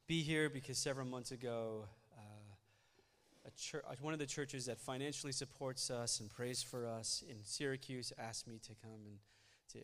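A man's voice: mid-range voice, fairly bright tone. A young man leads his congregation through a sermon, talking in an earnest, conversational way with rising energy. His voice sits in a middle register. His first language is Malayalam, and the recording carries the long, ringing reverb of a church hall. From United States. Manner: earnest, conversational, builds up energy while preaching. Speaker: pastor. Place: church sanctuary.

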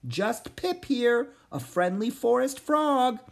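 Woman voicing Pip, a gentle little frog. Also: a little high, lively intonation, slow, gravelly, voice pushed up, exaggerated voice. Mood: gentle, nervous. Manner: gentle, earnest, softly pleading. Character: small anxious male forest frog, kind and helpful. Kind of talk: storybook character voice.

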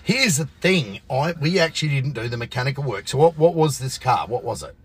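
A man's voice: mid-range voice, sing-song, brisk, husky. An Australian man hosting a casual podcast, teasing his guest and swearing freely in joking banter. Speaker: podcast host. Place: radio booth.

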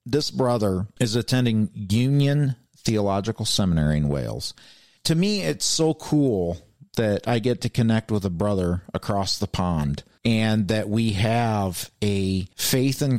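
A man's voice: on the low side, a little mellow, dry recording, studio quality. A man hosting a faith podcast, speaking in an earnest, heartfelt way. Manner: heartfelt, calls his guest "brother". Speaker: podcast host.

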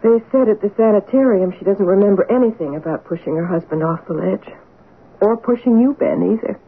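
A woman playing an earnest, perceptive wife who narrates and sleuths in a 1970s radio mystery, with clear phrasing. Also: mid-range voice, a little fast. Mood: composed. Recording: noisy, narrow-band old radio recording.

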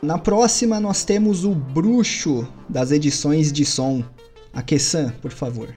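A man narrating an audio drama and hosting a tabletop game, telling the story in a deliberate, dramatic way that slows for suspense. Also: fairly high pitch, warm tone, slightly rough. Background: faint music.

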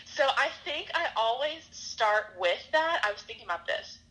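A woman's voice: sits high, lively intonation, normal speed, sharp tone, rough recording. A woman from Alabama, chatting casually and reflectively, laughing often. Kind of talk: casual chat.

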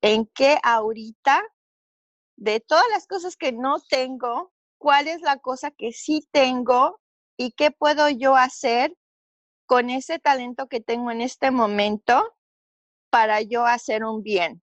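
A woman's voice: high-pitched, takes it slowly, no room sound, lo-fi sound. A middle-aged woman from Mexico with a Mexican Spanish accent, telling her story earnestly as an interview guest, with fillers and restarts.

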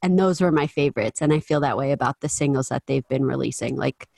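A woman's voice: a bass voice, quick pace, gravelly, darkish tone, no room sound, studio quality. A young woman hosting a podcast, asking questions in a playful, teasing way and laughing easily. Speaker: podcast host. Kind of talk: casual interview chat.

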